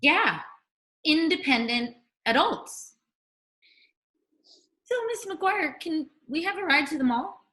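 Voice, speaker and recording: A woman's voice: sits high, expressive, takes it slowly, pauses often, sharp tone, full character voice. A woman playing a bossy, scheming tween girl in a comedic table read over a video call. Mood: feigned innocence.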